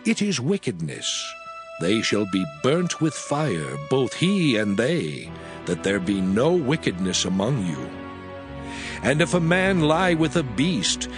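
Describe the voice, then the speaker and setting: A man narrating a dramatized scripture reading in a solemn, measured way. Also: mid-range voice. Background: music.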